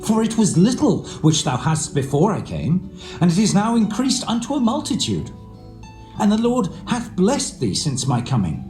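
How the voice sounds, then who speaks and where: An earnest, hard-working shepherd of scripture drama. His fairly high voice moves in lively swings as he declaims firmly. It echoes, with faint guitar music beneath. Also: normal speed. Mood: urgent, insistent. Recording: roomy echo, faint music behind.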